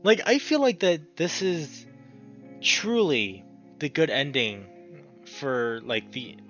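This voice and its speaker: A man's voice: fairly high pitch, expressive, slow, fairly bright tone, muffled recording. A man giving casual, emotional gameplay commentary as a let's play host, effusive and exclamatory, drawing out his words over game music.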